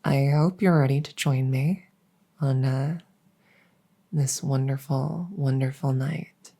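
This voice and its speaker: A woman speaking gently in a soft ASMR style, close to the mic, sometimes dropping into a whisper. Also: rumbling low, fairly even intonation, slow. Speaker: audio roleplay creator. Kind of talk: soft-spoken ASMR introduction. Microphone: close stereo condenser mic.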